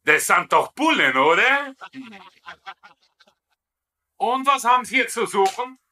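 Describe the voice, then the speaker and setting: An actor playing a blustering, tipsy Bavarian sergeant who poses as a general, in dubbed sitcom comedy style. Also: male voice, very high-pitched, very animated, slow, bright, forceful tone, full character voice. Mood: blustering outrage. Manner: blustery, exclaiming, indignant.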